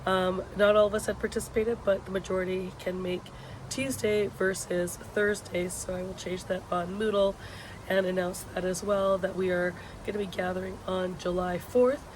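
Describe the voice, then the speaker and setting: Hoarse woman vlogging over loud outdoor noise. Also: mid-range voice, flat delivery, normal speed, rough recording. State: hoarse, sick with a lost voice. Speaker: university education instructor hosting a video blog. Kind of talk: informal course announcements to students. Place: outdoors on a patio.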